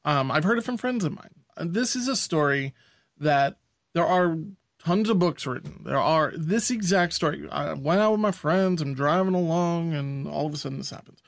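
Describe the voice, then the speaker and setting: Higher-voiced opinionated male podcaster. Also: animated, moderate pace, creaky, dry recording. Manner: frank, opinionated, rambling. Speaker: podcast co-host. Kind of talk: casual chat.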